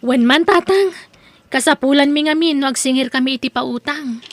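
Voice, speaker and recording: A woman's voice: high voice, normal speed, no room sound, close to an everyday voice. A woman playing a frank, hardworking young married woman in an Ilocano audio drama, respectful to her elders. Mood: frank, agreeable.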